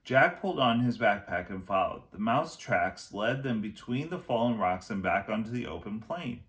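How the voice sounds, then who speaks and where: Male teacher, storybook narration: fairly low voice, highly varied intonation, dramatic phrasing for children. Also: animated, moderate pace, a bit bright. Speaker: teacher reading aloud to children. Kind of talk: storybook read-aloud narration.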